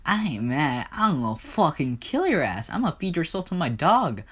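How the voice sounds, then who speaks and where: A man's voice: fairly high pitch, very animated, moderate pace, a bit bright, breathy edge, voice pushed up, full character voice. A man voicing an enraged, menacing god of the underworld who threatens in repetitive, profane bursts. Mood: seething. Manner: threatening, swearing, repeating himself.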